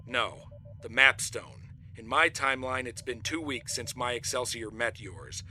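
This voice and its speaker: A man's voice: medium pitch, measured pace, sharp tone, slight background noise. A man playing a businesslike starship captain in a science fiction audio drama, matter-of-fact in delivery.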